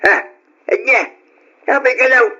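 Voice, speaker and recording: A man playing an excitable, alarmed old husband in a radio drama. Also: very high voice, measured pace, exaggerated voice. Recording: dull lo-fi radio recording.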